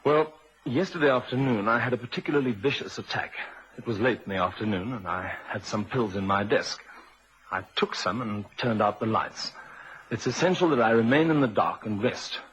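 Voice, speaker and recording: An actor playing a shaken, evasive husband under questioning, halting and defensive, in a vintage radio drama on an old recording. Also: male voice, mid-range voice, fairly bright tone, lo-fi sound, slight background noise, natural voice.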